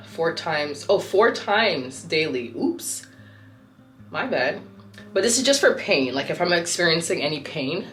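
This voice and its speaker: A woman's voice: low-pitched, reverberant. A young woman vlogging casually to camera, chatty and rambling, with a sore throat that makes her sound slightly nasal. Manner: chatty, rambling, self-correcting. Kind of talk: casual vlog chat to camera.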